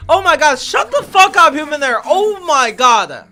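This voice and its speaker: Excitable bright-voiced male game streamer. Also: very high voice, a little slow, soft background music. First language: Chinese. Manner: excitable, swears and exclaims at the game. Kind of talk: casual live-stream commentary.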